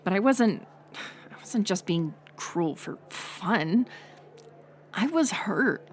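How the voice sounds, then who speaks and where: A woman playing a bitter, hurt college-age former friend turned bully, confessing in a halting, intimate audio drama monologue. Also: medium pitch, expressive, slight background noise. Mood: guilty, bitter confession. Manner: halting confessional delivery, drifting into breathy near-whispers.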